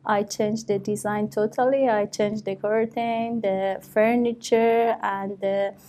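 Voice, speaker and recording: A woman's voice: medium pitch, little pitch movement, takes it slowly, warm tone, slight background noise. A woman in her thirties from Iran with an Iranian accent, answering exam questions with many fillers and restarts in a small office. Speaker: test candidate. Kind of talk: mock speaking exam answers.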